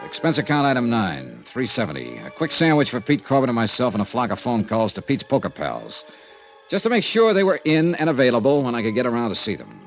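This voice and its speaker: An American actor playing a hard-boiled, wry insurance investigator in an old-time radio detective drama. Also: male voice, mid-range voice, wildly swooping pitch, a little fast, vocal fry. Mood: wry, brisk narration. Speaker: lead actor in detective serial. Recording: vintage radio recording, faint music.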